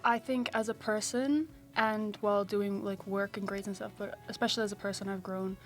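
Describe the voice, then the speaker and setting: A teenage girl from South Africa, a high school student, answering interview questions shyly and softly. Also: fairly even intonation, brisk, soft background music. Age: teens.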